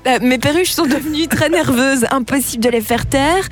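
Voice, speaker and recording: A woman's voice: sits high, normal speed, faint music behind. A woman, co-hosting a morning radio show in chatty, playful banter.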